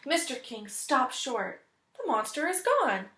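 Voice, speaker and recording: A woman reading a picture book aloud as a teacher would, deliberate and expressive, pausing to think aloud. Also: sits high, slight background noise. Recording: echoey room.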